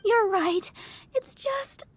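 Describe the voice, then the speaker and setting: Anxious teen girl for a video game: faltering, earnest, very high, music behind. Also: expressive, drawn-out words, exaggerated voice. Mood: shy, reassured. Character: anxious, sweet teenage girl, easily panicked. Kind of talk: English video game voice acting.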